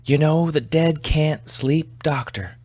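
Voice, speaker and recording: A man's voice: fairly high pitch, slow pace, a little mellow, no room sound, voice pushed up. A man voicing a weary, irascible old rural woman, crude, blunt and folksy, in an audio story. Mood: weary, bitter. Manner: folksy, ungrammatical, blunt and foul-mouthed. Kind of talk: storybook character voice.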